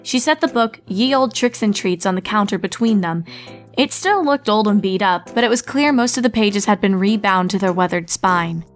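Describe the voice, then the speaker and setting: A woman reading a fan story aloud as narrator, with steady storytelling narration. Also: medium pitch, normal speed, faint music behind. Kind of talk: fanfic audiobook reading.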